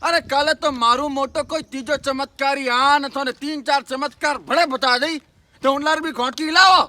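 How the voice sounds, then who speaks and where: A man's voice: extremely high pitch, pushed and bright, slight background noise, full character voice. A man with a Rajasthani accent playing a shrewd, outspoken village shepherd in an emphatic theatrical monologue. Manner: rustic, declamatory, emphatic. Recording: distant microphone.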